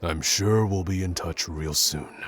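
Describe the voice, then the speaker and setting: A man playing a gruff, awkward young tsundere prince who speaks haltingly and grudgingly in an intimate roleplay audio addressed to the listener. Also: deep voice, fairly bright tone. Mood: shy, reassuring. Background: background music.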